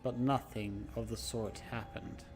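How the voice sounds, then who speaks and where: A man live-streaming a game, reading horror narration aloud dramatically and haltingly, stumbling and correcting himself. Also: fairly low pitch, fairly even intonation, darkish tone. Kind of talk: live read-aloud of a horror visual novel with asides. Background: background music.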